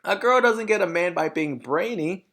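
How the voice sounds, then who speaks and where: A man puts on a smug, vain storybook mother who sneers at everyone. The voice is raised in pitch and swings about widely, with brash, emphatic phrasing. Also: lively intonation, normal speed, a bit bright. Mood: scornful, emphatic.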